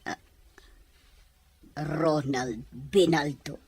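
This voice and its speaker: A woman's voice: low voice, fairly even intonation, very slow, a little mellow. A woman playing a reserved, probing middle-aged mother, asking questions in a Filipino Ilocano accent. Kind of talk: radio drama dialogue.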